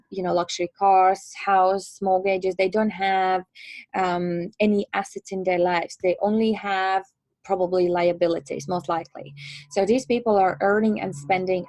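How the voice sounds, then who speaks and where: A woman with a Latvian accent giving an online presentation, explaining in a hesitant, halting way with many filler words. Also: mid-range voice, steady tone, darkish tone, dry recording. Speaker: presenter and trainer.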